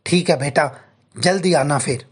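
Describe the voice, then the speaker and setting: A man's voice: a little high, measured pace, slightly rough. A man voicing an appreciative older village headman in a story.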